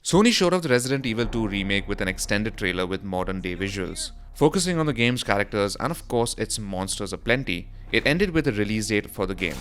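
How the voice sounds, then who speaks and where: A man's voice: lowish voice, very animated, brisk, hardly any pauses, a bit bright, slight background noise. A man reading a scripted voice-over for a gaming news roundup, in the role of presenter. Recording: music behind the voice. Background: background music.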